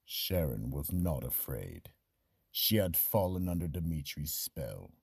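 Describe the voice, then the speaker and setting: Man as a very deep, gravelly horror narrator. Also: no room sound, heavily acted. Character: ominous male narrator of horror tales. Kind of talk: scripted radio horror narration.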